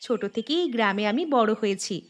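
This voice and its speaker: A woman's voice: mid-range voice, quick pace, natural voice. A woman playing a kindly village woman in a cartoon fairy tale. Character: kindly village woman walking with her family.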